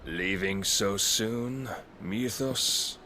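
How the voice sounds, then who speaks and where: A man's voice: lowish voice, very slow, sharp tone, slight background noise, heavily acted. A man playing a haughty, coldly reverent fantasy warlord king in a video game, speaking imperiously in grand archaic phrases. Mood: regal disdain.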